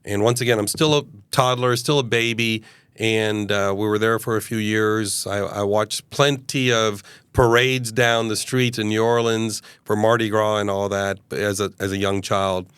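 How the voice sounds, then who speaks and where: Man, 50s, from Uganda, interview guest; smooth low voice, earnest storyteller. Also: steady tone, normal speed, fairly bright tone, dry recording. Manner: earnest, expansive, hesitant fillers. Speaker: guest, mayoral candidate.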